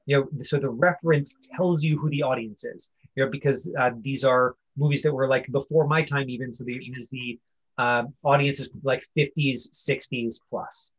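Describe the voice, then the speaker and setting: Husky-voiced male acting coach. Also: medium pitch, steady tone, moderate pace, poor audio. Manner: rambling, checks understanding with "right?". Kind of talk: informal teaching and directing.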